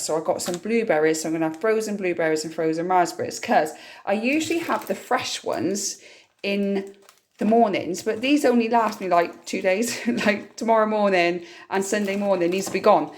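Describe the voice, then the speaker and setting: Croaky woman chatting casually. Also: medium pitch. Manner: chatty, rambling and homely. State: slightly hoarse, croaky from a cough. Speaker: vlogger. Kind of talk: casual grocery haul vlog.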